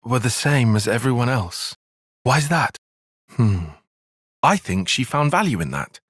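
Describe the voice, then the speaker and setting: Game cutscene role: earnest young male tactician, bookish and analytical, animated intonation, slightly husky, dry close sound. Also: medium pitch, no echo, heavily acted. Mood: measured, reserved. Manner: measured, formal, analytical phrasing. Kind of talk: video game cutscene voice acting.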